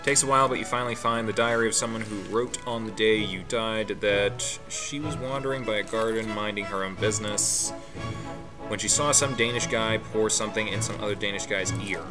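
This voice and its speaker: A man's voice: lowish voice, brisk, over music. A man hosting a let's play, reading the game text aloud with his own asides and chuckles.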